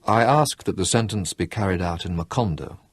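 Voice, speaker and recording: A man voicing a weary, calm condemned colonel in an audiobook, speaking slowly and quietly with a husky voice. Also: low voice.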